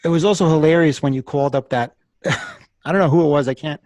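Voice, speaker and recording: A man's voice: on the high side, brisk, a little mellow. A man hosting a casual interview, eagerly recapping his guest's stories and laughing along. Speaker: podcast host.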